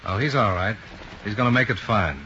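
A cool freelance investigator from a 1950s radio drama. His voice is lowish and forceful, with short, probing questions. The old recording is dull and a little noisy. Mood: calm, reassuring. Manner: brisk, clipped questioning. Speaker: lead actor in a radio drama.

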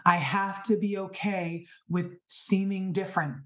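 A woman's voice: medium pitch, fairly even intonation, unhurried. A woman in her thirties hosting a podcast, giving an earnest, emphatic spiritual talk full of rhetorical questions. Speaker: podcast host. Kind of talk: inspirational spiritual monologue.

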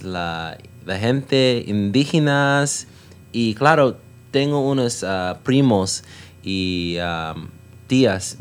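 A man whose first language is English, chatting as a podcast guest in hesitant learner's Spanish, full of fillers and self-corrections. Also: fairly low pitch. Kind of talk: casual chat.

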